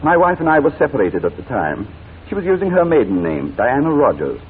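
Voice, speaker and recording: An actor playing a coolly polite, menacing blackmailer in a 1940s radio drama, speaking in formal, mockingly courteous phrases. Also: male voice, medium pitch, big pitch swings, fairly quick, lightly acted. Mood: coolly threatening. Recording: noisy, tinny 1940s radio recording.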